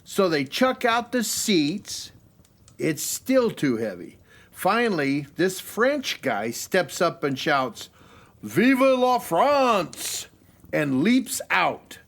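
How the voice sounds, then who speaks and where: Man acting a playful joker, animated intonation, unhurried delivery, jovial storyteller. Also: a little high, full character voice. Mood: jovial storytelling. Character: playful, chatty American man telling a long joke.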